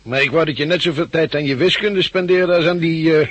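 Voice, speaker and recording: A man's voice: on the high side, brisk, muffled recording, slight background noise, close to an everyday voice. An actor playing a practical, grumbling but fond father in a 1960s Dutch radio drama.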